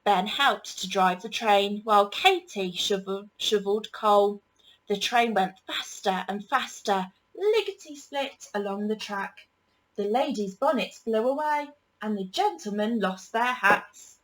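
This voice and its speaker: A woman reading a children's picture book aloud as a storyteller, with deliberate, dramatic emphasis. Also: mid-range voice, expressive, poor audio.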